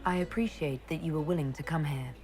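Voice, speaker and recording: An actress playing a composed, guarded young noblewoman who leads an order in a fantasy game, with a measured, dry manner. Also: low voice, darkish tone, soft background music, lightly acted. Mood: concerned, curious. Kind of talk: fantasy video game dialogue acting.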